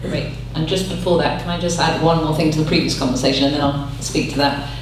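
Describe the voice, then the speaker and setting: A woman giving a measured, reflective explanation as a panel speaker. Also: low voice, steady tone. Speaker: panel speaker, interfaith academic. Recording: echoey hall, distant mic, faint hum.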